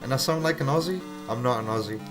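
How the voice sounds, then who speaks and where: A chatty British man hosts a live game stream, reading out chat messages and grumbling at the game as he plays. His voice sits at an ordinary middle pitch, and game music plays clearly behind him. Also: fast talker. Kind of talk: casual live-stream chat while gaming.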